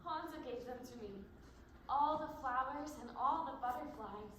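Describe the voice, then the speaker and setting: Echoey noisy far-off stage recording, young woman acting a gentle anxious teen girl prisoner, high voice. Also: moderate pace. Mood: tender. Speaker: amateur stage actor. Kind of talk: one-act stage play acting.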